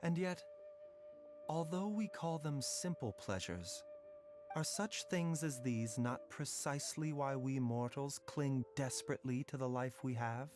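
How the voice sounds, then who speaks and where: A man playing a gentle, philosophical doctor in a fantasy game, speaking in a measured, eloquent and reflective way. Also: mid-range voice, lightly acted. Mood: gently musing. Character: gentle, philosophical male doctor, calmly resolute. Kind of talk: scripted fantasy game acting. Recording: music bed under dialogue.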